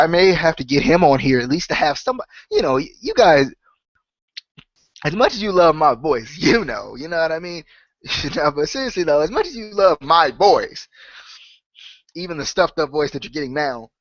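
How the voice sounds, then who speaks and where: A man's voice: medium pitch, dry recording, highs cut off. A man with a cold hosting a casual solo podcast, nasal and congested, rambling with lots of fillers and restarts. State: stuffed up with a cold. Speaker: podcast host. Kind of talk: casual solo chat to subscribers.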